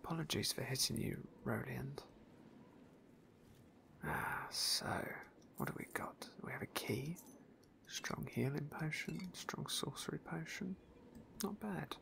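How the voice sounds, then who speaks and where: Softly whispering man, very creaky. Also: a little high, expressive, slow, pauses often. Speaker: ASMR roleplay gamer narrating a playthrough. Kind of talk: whispered ASMR story narration of game play.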